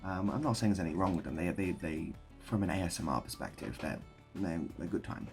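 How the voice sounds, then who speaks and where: A man talking casually to camera in a rambling, self-deprecating way with deadpan whimsy. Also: low voice, fast talker, faint music behind. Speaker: video creator and host. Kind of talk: casual improvised monologue to camera.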